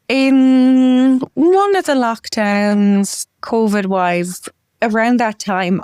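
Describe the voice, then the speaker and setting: An Irish woman in her late twenties, a guest in an interview, talking in a chatty way full of "like" and quick asides. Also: mid-range voice, clear, resonant tone, no room sound, studio quality. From Ireland. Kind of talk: conversational interview.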